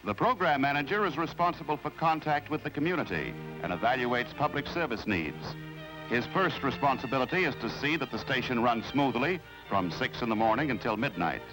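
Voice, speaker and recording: Male American narrator, vintage fifties educational film; formal, measured script reading with animated intonation over music. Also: mid-range voice, rough recording. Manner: formal, measured, explanatory delivery. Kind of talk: 1950s documentary scripted narration.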